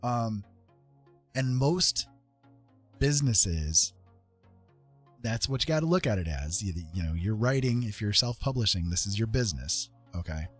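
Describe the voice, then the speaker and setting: Husky, low-voiced man chatting casually. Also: animated, a little slow, long pauses, soft background music. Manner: informal, rambling, often checks in with "right?" and "you know". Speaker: livestream host giving advice. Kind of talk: casual advice chat to viewers.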